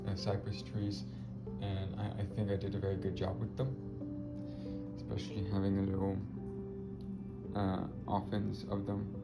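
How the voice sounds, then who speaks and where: Raspy-voiced man with gravelly low voice. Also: completely flat delivery, moderate pace, long pauses. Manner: hesitant, many ums and restarts. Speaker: spray paint artist. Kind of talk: casual video commentary. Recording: muffled audio with loud music behind. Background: loud background music.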